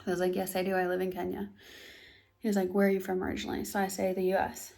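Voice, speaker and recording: A young American woman telling a tense personal story in a casual vlog, animated and often dropping to a hushed near-whisper. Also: medium pitch, quick pace, gravelly. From United States. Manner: animated storytelling, acts out both sides of a dialogue.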